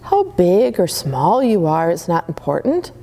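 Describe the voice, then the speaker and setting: A woman voicing a wise old male moon who speaks gently and soothingly. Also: fairly low pitch, big pitch swings, a little slow, creaky, full character voice. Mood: gentle.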